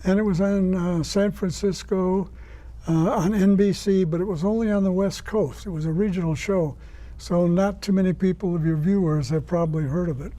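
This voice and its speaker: A man answering interview questions as a guest, explaining things in a measured, informative way. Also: sits high, fairly even intonation, warm tone. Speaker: guest, writer and director of radio plays.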